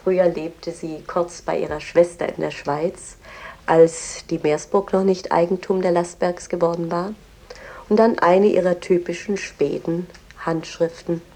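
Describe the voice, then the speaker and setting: A woman playing a cultured, devoted museum guide in a radio play, explaining things in a measured, reverent manner. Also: fairly low pitch, warm tone. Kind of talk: explanatory guided tour with verse recitation.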